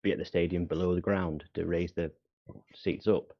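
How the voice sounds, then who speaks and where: A man joins a football stream as a guest, with a low voice. His audio sounds a little dull and lo-fi. He mostly adds quick remarks to the chat. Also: fairly even intonation. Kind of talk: casual chat.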